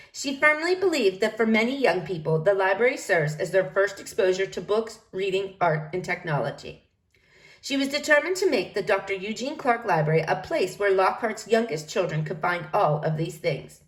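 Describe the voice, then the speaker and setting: A woman reading a children's book aloud, narrating in an expressive storytelling manner. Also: mid-range voice, low-quality recording. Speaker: storybook reader.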